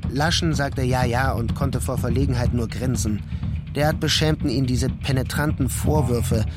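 A man's voice: mid-range voice, fairly even intonation, normal speed. A man reading scripted literary narration as the narrator of a radio drama. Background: guitar music.

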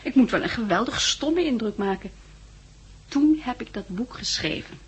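An actress playing a poised, candid young society woman in a 1960s Dutch radio drama, soft and playful, with a Netherlands accent. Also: a little high, expressive, normal speed, slightly rough. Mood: wry, confiding. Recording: vintage 1960s radio recording.

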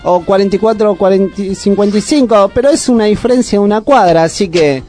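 A man's voice: high voice, fast, darkish tone, soft background music. A man with an Argentine accent hosting a community radio show, talking in a rambling, chatty way. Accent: Argentine Spanish. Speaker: radio host. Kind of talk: casual radio chat.

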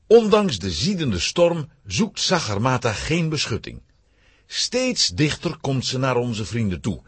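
Husky, animated male storyteller. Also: medium pitch, no room sound. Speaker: narrator. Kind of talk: dramatic scripted storytelling for children.

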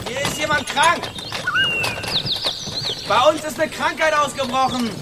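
A man's voice: very high-pitched, unhurried, very noisy. An actor playing an alarmed backwoods man in a radio drama, calling out to ward someone off. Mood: alarmed warning. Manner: calling out from a distance. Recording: distant voice in loud outdoor ambience.

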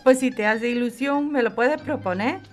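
An actress plays an older woman with a Spanish accent, affectionate and practical. Her voice sits slightly high. She talks in a coaxing, plain-spoken way, as in an intimate audio drama. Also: normal speed, soft background music, natural voice. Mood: fond, frank. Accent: Spain. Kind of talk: podcast radio drama acting, conversational.

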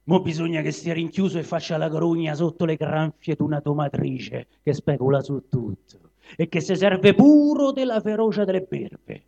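A man's voice: a little high, normal speed, gravelly, mellow. A man voicing a proud, bitter caged lion in dramatic dialect verse, grumbling and declaiming with a Roman accent. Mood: aggrieved. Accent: Roman Italian dialect. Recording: dull lo-fi live recording.

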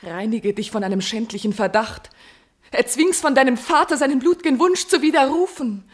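An actress playing a noble, courageous young princess in love, declaiming impassioned verse in a classical German radio tragedy. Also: female voice, a little high, expressive, moderate pace. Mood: urgent pleading.

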